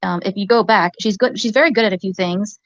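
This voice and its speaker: A woman with a soft voice, rambling hesitantly in a clip from a livestream. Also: medium pitch, fast talker, no echo, muffled recording. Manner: hesitant, many fillers and restarts.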